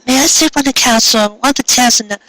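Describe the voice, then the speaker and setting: A man's voice: very high voice, fast, pushed and bright, pitched above the performer's own voice, rough recording. A man voicing a shy, sleepy young female pony who speaks sweetly and hesitantly, with stammers, in a storybook reading. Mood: timid, pleading.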